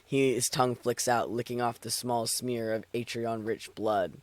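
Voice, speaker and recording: A man with a mid-pitched voice hosts a casual reading stream. He is playful and swears easily, moving between banter and story narration. The sound is dry and close. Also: steady tone, moderate pace, dry recording. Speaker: streamer reading fan fiction aloud.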